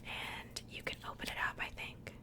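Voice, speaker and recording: A woman speaking in a soft, breathy whisper, chatting casually and unhurriedly in the style of an ASMR unboxing. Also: normal speed. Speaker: ASMR video creator.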